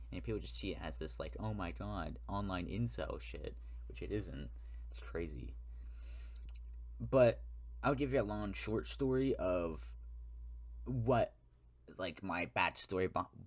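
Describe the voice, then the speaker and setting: A young man with an American accent and a very low-pitched voice talks straight to his viewers. He rambles through a personal rant, stops to correct himself, and drops wry asides as he goes. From United States. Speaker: YouTuber. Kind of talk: ranting solo monologue.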